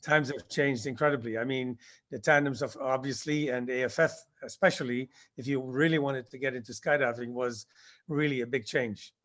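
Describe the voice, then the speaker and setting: A man in his sixties, born in Indonesia, answering interview questions about his past and recalling it haltingly with many fillers. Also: mid-range voice, fairly even intonation, a bit bright. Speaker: guest, skydiving instructor.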